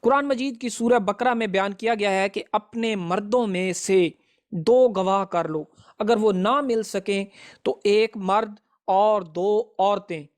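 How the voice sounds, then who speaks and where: A man's voice: high-pitched, unhurried. A man gives a prepared educational law lecture in a formal, methodical way, setting out points one by one. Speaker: advocate presenting law lessons.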